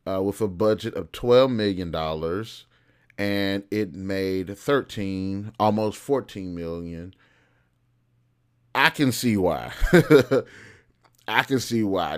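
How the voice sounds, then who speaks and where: A man hosting a review show with casual, opinionated commentary, speaking informally and often repeating and rephrasing himself as he goes. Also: lowish voice. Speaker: host of a film review show.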